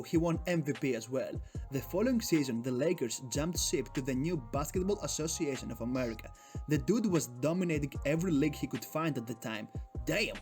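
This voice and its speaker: A man's voice: a little high, darkish tone. Hyped, profane Greek-accented man. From Greece. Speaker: sports YouTuber. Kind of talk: scripted video narration.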